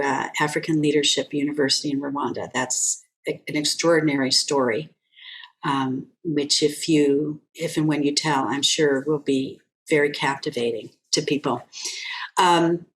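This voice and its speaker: A woman speaking as a guest on a webinar, giving thoughtful, encouraging advice with pauses over a video call. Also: deep voice, low-quality recording.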